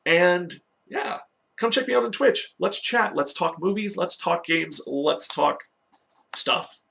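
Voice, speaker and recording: A man's voice: high voice, animated, slow pace, vocal fry, a bit bright. A man hosting a casual review, talking directly to his viewers. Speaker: host of a video game movie review series.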